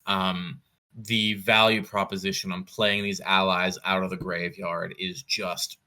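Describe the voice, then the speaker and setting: A man talking casually as an interview guest, in a measured, analytical way, thinking aloud as he goes. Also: deep voice, level tone, bright, forceful tone. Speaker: podcast guest, competitive card game player.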